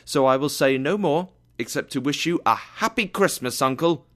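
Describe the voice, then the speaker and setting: A man voicing a cheerful, warm-hearted young nephew in a storybook tale, earnest and eager. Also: mid-range voice, very animated, normal speed, natural voice. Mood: warm, regretful.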